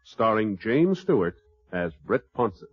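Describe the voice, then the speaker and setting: A man's voice: lowish voice, sing-song, unhurried. A man announcing a 1950s radio drama in a formal style. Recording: muffled 1950s radio recording, music behind.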